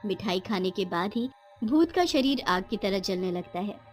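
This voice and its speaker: A woman narrator reading a scripted animated moral tale for listeners. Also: medium pitch, moderate pace, soft background music.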